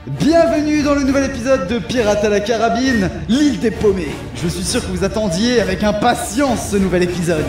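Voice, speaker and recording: A man's voice: sits high, a little fast. A man narrating a pirate audio drama in a playful, teasing way. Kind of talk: jokey scripted narration. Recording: echoey room with music.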